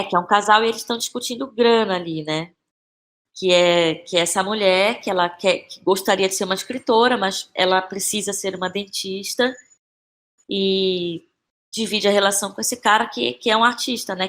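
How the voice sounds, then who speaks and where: A Brazilian woman in her thirties speaking as a guest in a conversational podcast interview, thinking aloud haltingly and repeating phrases. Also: medium pitch, a bit bright. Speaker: interview guest, playwright and actress.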